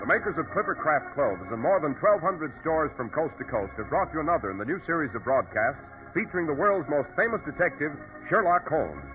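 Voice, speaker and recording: A man announcing a scripted 1940s radio program with polished, formal showmanship. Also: medium pitch. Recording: tinny old radio, music behind. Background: music.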